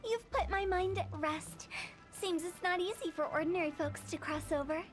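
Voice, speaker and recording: A woman's voice: very high voice, moderate pace. A woman voicing a playful young female funeral director who teases and laughs often. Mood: teasing. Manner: teasing, mischievous, laughs often. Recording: game audio with music.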